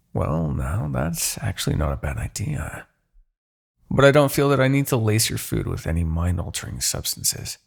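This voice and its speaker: A man's voice: deep voice, vocal fry, husky, full character voice. A man playing a smug, possessive demon king in an ASMR roleplay, speaking with haughty, theatrical formality. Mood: condescending, amused. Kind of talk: ASMR roleplay, dramatic character acting.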